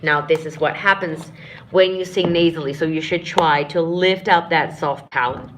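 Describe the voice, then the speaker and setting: Woman vocal coach, lower voice, patient explainer who demonstrates as she teaches, live-stream lesson. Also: fairly even intonation, normal speed, slight background noise. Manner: explanatory, demonstrates sounds and short sung phrases while teaching; addresses viewers warmly as friends and dears. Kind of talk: live-streamed singing lesson answering chat questions.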